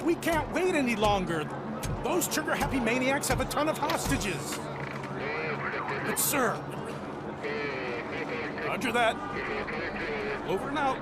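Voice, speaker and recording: A man's voice: very high voice, big pitch swings, a little slow, pauses often, loud music behind the voice, full character voice. A man playing an agitated, exasperated policeman in a video game, his voice raised and urgent. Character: agitated, exasperated policeman outside a hostage siege.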